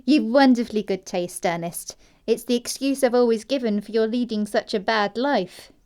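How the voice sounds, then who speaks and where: A woman plays a prim young society girl in a classic comedy audio drama. Her voice is high and her delivery precise and theatrical. She sounds dreamy yet completely self-assured. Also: moderate pace, a little mellow, lightly acted. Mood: dreamy, matter-of-fact.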